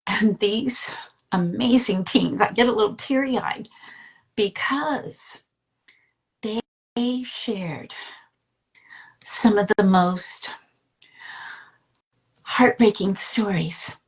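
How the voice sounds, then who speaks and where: A woman interviewer talking conversationally, sharing personal anecdotes in an earnest, emphatic way with pauses between phrases. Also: medium pitch, rough recording. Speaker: interviewer and storyteller who works with teens.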